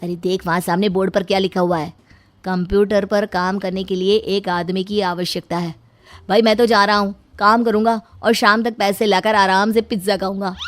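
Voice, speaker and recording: A woman's voice: medium pitch, level tone, normal speed, faint music behind, close to an everyday voice. A woman playing a lazy, cheeky young son-in-law who wheedles and whines in a moral story. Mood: complaining, eager. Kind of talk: moral story dialogue acting.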